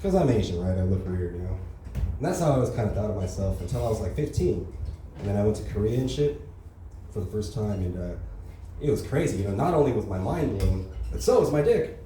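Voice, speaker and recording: Young male comic, quick crude club set, lowish voice, echoey distant room with noise. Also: big pitch swings, mellow. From Los Angeles area. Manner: casual, crude, lots of filler tags like "you know" and "right". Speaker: stand-up comedian. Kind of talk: open mic stand-up set. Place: comedy club stage, heard from far off.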